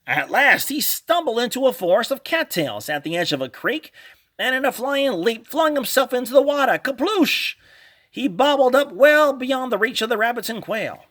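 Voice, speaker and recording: High, bright-voiced man reading a storybook. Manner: dramatic, expressive. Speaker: storybook narrator. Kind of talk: animated children's read-along storytelling.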